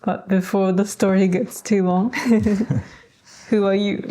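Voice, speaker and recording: A young woman from New Zealand with a Kiwi accent, co-hosting a casual podcast in a calm way, often laughing and humming along. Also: medium pitch, takes it slowly. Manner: calm, laughs often, short agreeing hums. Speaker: podcast co-host. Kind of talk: casual chat.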